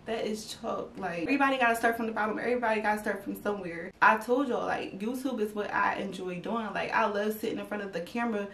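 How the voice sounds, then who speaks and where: A woman's voice: medium pitch, quick pace, gravelly, hardly any pauses, fairly bright tone, reverberant, recorded from a distance, slight background noise. A young woman chatting casually to her viewers, slightly congested and stuffy, rambling with lots of fillers. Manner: rambling, filler-heavy, talks straight to viewers. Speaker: YouTube vlogger. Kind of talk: casual chat to camera.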